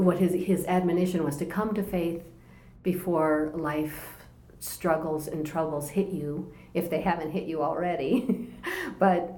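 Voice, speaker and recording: A woman in her sixties, a guest on an interview show, sharing her personal story in a gentle, reflective way and laughing easily. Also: on the low side. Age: 60s. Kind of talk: personal testimony in conversation. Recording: echoey room.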